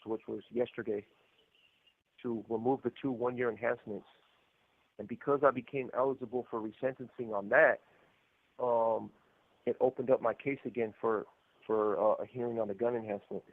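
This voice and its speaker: An American man calling from prison, telling his own story candidly over the phone. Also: mid-range voice. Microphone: telephone. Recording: muffled narrow-band prison phone line.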